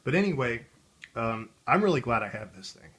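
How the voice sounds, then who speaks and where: An American man chats casually as he hosts a video. His middle-pitched voice rambles along in a wry, riffing way, loose and conversational. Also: very animated, a little fast, fairly bright tone. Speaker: video host. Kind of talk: casual chat.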